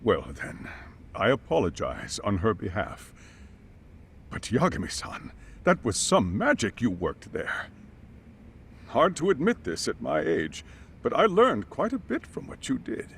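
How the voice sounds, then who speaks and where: A man's voice: mid-range voice, huge pitch swings, a little slow, creaky, a bit bright, a little hiss or hum, heavily acted. An actor playing a courteous, intellectual middle-aged man in a game dub, speaking formally and theatrically. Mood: apologetic, admiring.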